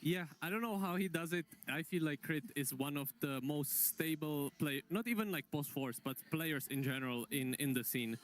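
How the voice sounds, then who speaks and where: A man's voice: a little high, moderate pace, hardly any pauses. A man giving casual esports analysis as a player on a discussion panel.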